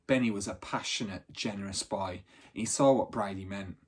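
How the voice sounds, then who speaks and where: A man reading a children's adventure book aloud as a storyteller, in animated narration. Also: on the low side, normal speed, slightly rough.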